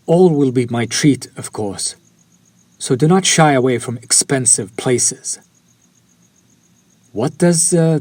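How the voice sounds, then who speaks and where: A man's voice: medium pitch, a little mellow, dry recording. A man playing a courtly, centuries-old vampire in an intimate audio roleplay, speaking to the listener with formal, old-fashioned politeness.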